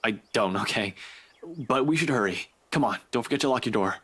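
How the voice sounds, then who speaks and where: An actor playing a shaken young detective in a visual novel, halting yet determined and brushing off concern. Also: male voice, medium pitch, a bit bright, slightly rough. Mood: rattled, evasive. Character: rattled young male detective, guarded but determined.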